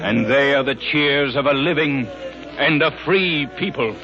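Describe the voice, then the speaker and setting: An actor playing an earnest, heroic gentleman crime-fighter in a declamatory 1930s radio drama style. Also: medium pitch, measured pace, over music, natural voice. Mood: solemn, consoling. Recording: very noisy muffled 1930s radio recording.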